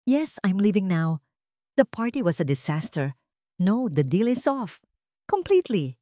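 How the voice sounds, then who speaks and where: A woman's voice: mid-range voice, sing-song, unhurried, mellow, no room sound, studio quality. A woman voicing an indignant businessman in an audio drama, speaking emphatically in short, clipped sentences. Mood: disgusted. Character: Cantonese businessman on phone, indignant, disgusted by treachery.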